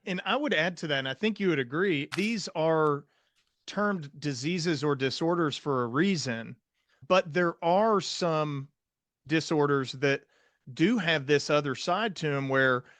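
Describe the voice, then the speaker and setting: An American man hosts a podcast interview in a conversational style. His voice sits on the high side for a man, and the sound is dry, with no room echo. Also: a little slow, fairly bright tone. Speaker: podcast host, psychiatrist.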